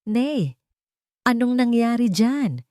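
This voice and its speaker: A woman's voice: on the high side, big pitch swings, slow, mellow, dry recording, clean studio sound, pitched above the performer's own voice. Woman voicing a tender, devoted grown son. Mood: concerned. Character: devoted, grateful adult son and successful husband.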